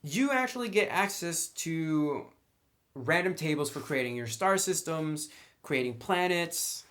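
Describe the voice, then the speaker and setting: A man's voice: a little high, animated, unhurried, fairly bright tone. Casual man reviewing tabletop games. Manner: informal, conversational, opinionated.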